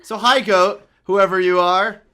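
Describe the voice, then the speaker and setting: A man's voice: sits high, steady tone, measured pace, bright, forceful tone. A man chatting casually and playfully as a performer in an improvised comedy dub.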